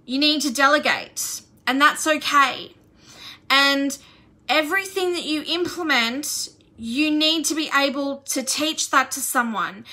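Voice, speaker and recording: Woman in her thirties from Australia, a slow, deliberate coach speaking to her phone camera at home. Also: high-pitched, pushed and bright. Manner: earnest, encouraging, slow and deliberate. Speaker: business and self-development coach. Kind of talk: motivational talk to camera. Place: indoor room at home.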